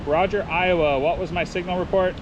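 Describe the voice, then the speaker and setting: A man's voice: high voice, steady tone, fairly quick, muffled recording. An American man working as an amateur radio operator outdoors, calling out call signs in phonetic alphabet and giving signal reports in procedural radio phrasing. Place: outdoors in a park. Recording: outdoor recording with clear vehicle-like background noise.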